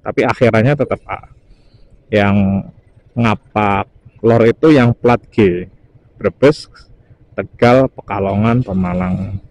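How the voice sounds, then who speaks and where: A man's voice: fairly low pitch, slow, pauses often, a little mellow, rough recording. A young man, chatting casually to viewers as he commentates on his motorcycle ride. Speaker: motovlogger. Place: outdoors, riding a motorcycle on a highway. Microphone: helmet-mounted mic. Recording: clear engine and traffic noise.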